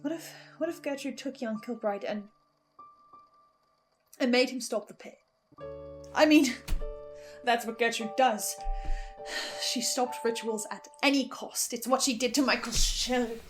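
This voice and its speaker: High-voiced, dramatic woman host. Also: normal speed. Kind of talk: scripted lore analysis with theatrical asides. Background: faint music.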